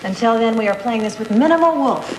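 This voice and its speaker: An actress playing a skeptical TV news director, a woman boss with a brisk, dry, firm manner. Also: a little high, animated, noisy background, close to an everyday voice.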